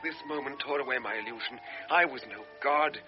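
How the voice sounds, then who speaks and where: An actor playing a guilt-ridden young lieutenant. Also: male voice, mid-range voice, a little fast, music in the background, natural voice. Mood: anguished. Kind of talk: 1960s radio drama acting. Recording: dull lo-fi radio broadcast.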